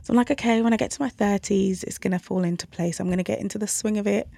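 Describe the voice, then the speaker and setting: Gravelly-voiced woman podcast host. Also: mid-range voice, fast, dark tone, no echo, studio quality. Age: 30s. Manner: conversational and reflective, openly self-questioning. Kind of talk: solo parenting podcast talk.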